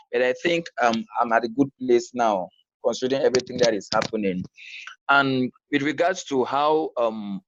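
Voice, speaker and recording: A man's voice: medium pitch, dry recording. Cameroonian man, dull dry recording. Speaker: podcast guest, YouTuber. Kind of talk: conversational personal storytelling.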